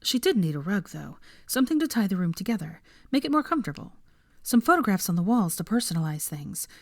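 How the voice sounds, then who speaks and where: A woman's voice: mid-range voice, very animated, fast talker, darkish tone, no room sound, studio quality. A woman narrating a story as an audiobook reader, from a script.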